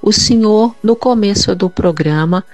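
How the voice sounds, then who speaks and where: A woman from São Paulo state gives a testimony as a viewer, speaking in a slow, careful, measured way. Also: medium pitch, darkish tone, dry recording. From Cubatão, São Paulo, Brazil. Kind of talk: read testimony.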